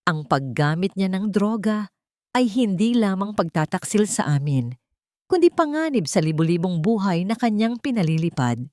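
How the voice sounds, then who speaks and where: A woman's voice: mid-range voice, expressive, moderate pace, dark tone, no echo, clean studio sound, close to an everyday voice. A woman voicing a scheming rich matriarch. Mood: feigned grief. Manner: tremulous mock-sorrowful. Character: scheming older rich woman, false grief.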